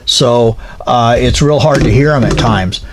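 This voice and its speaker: A man's voice: medium pitch, unhurried, background noise. Plain-spoken man giving an informal gear explainer. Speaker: instructor, pilot car operator.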